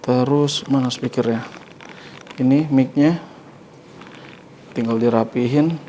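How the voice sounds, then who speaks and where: A man's voice: medium pitch, steady tone, takes it slowly, dark tone, a little hiss or hum, poor audio. A man hosting a casual hands-on motorcycle gear review, informally thinking aloud as he demonstrates. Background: music.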